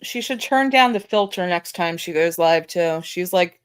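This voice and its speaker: A woman's voice: lowish voice, expressive, moderate pace. A woman hosting a casual gossip livestream, chatting cattily and swearing now and then. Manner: catty, profane, agreeable. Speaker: livestream host.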